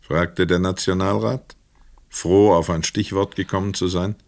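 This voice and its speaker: A man narrating a literary crime radio play in a measured, sober, scripted delivery. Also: low voice, steady tone, gravelly, a little mellow, no echo.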